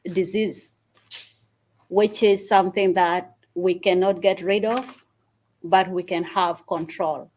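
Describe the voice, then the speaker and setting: A woman from Uganda, the founder of a small charity, gives an earnest speech at an event and makes an appeal with long pauses. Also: mid-range voice, a little mellow, poor audio. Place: event hall at a university.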